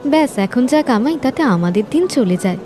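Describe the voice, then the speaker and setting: A woman's voice: a little high, animated, brisk, warm tone, soft background music, natural voice. A woman voicing a humble, hardworking street-seller mother in a moral-story cartoon, polite and plain-spoken. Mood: humble, grateful.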